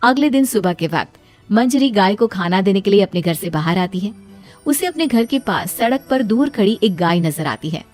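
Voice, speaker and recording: A woman's voice: medium pitch, animated, normal speed, darkish tone. A woman reading a scripted story as its narrator. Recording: music behind the voice. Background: background music.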